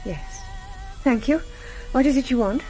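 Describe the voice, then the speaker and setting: An actress playing a composed, sharp-witted wife, guarded and anxious, in a radio drama. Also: female voice, high voice, unhurried, faint music behind, rough recording. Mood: polite, wary.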